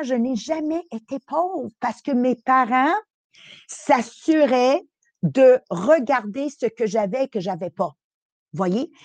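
Quebec french lady podcast host, highish voice, close dry sound, heartfelt motivational talk, really leans on key words. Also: a little mellow, dry recording. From born in Italy. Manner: heartfelt, emphatic, repeats key phrases for effect; slips English phrases into French.

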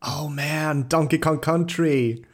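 A man's voice: a little high, fairly even intonation, takes it slowly. A man hosting a podcast, asking questions in a casual, conversational interview style.

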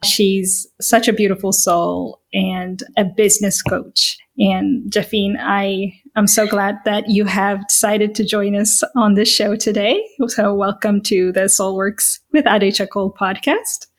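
A woman's voice: mid-range voice, steady tone, a little slow, hardly any pauses. A woman hosting a podcast, welcoming her guest and listeners in a gushing, affirming way. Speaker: podcast host.

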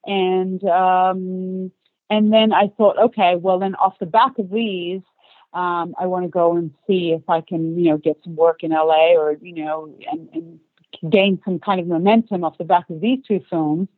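A woman's voice: fairly low pitch, steady tone, a little slow, dry recording. Woman in her forties, from Australia, chatty and rambling, dry thin phone-line sound. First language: English. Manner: chatty, long run-on answers with light laughs. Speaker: actress guest. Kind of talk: podcast interview.

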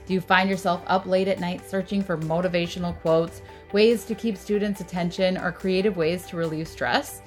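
A woman's voice: on the low side, steady tone, normal speed, hardly any pauses, soft background music. A woman co-host reading an upbeat scripted podcast intro. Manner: upbeat, reading questions to listeners.

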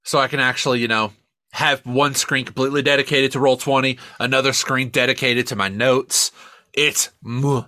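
A man co-hosting a podcast in casual comedic chat, exclamatory and quick to swear. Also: medium pitch, steady tone, bright, forceful tone, no room sound, studio quality. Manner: profane, exclamatory. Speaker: podcast co-host.

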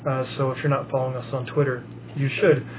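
A man co-hosting a podcast, rambling casually with many fillers and halting restarts. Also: medium pitch, level tone. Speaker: podcast co-host. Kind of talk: casual chat. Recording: lo-fi phone-like sound with faint hiss.

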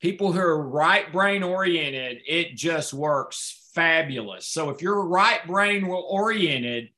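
Bright-voiced man hosting a live chat. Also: a little high, animated, takes it slowly. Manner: repeats words when starting sentences, earnest. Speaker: author and host.

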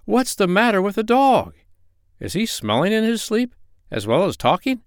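A man voicing an excitable, kindly gentleman doctor in a children's storybook. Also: high-pitched, normal speed, clean tone, no echo, studio quality, pitched above the performer's own voice. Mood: astonished.

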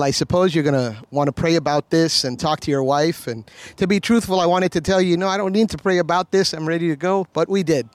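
A man's voice: fairly high pitch, no room sound. A man in his fifties from Miami, a pastor telling his story as a podcast guest in an earnest, sincere way. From Miami, USA. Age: 50s. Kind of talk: conversational interview.

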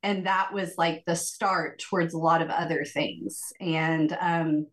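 Female group participant, slightly low voice, telling her own story earnestly and a little hesitantly in conversation. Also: fairly even intonation, unhurried. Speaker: group member sharing testimony. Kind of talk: reflective personal account in a group conversation.

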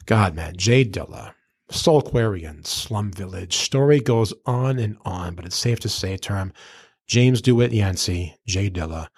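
A man with a low voice hosts a casual hip-hop interview show. He talks earnestly and effusively, dropping slang as he goes. The recording is dry and close, with very little room sound. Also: expressive, a little slow, breathy edge, dry recording. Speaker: podcast and radio host.

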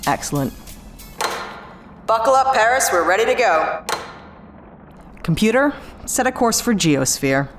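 A woman playing a brisk, businesslike spaceship pilot in a sci-fi audio drama. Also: mid-range voice, very animated, soft background music.

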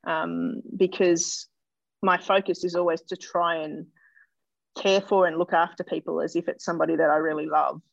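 Aussie woman w a bit of fry in her voice, thinks out loud, dry muffled recording. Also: on the low side, steady tone, normal speed, dry recording. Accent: Australian. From Australia (Melbourne). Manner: thoughtful, hesitant, lots of fillers and restarts. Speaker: doctor and interview guest. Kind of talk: casual interview answers.

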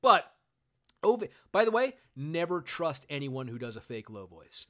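A man's voice: a little high, sing-song, normal speed, a bit bright. A man hosting a casual comedy podcast, talking sarcastically, swearing freely and using lots of fillers. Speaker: podcast host.

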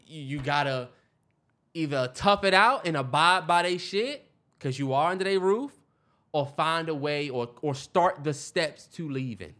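A man's voice: fairly high pitch, fairly bright tone. An American man co-hosting a podcast, talking casually in informal slang. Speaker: podcast co-host. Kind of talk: casual chat.

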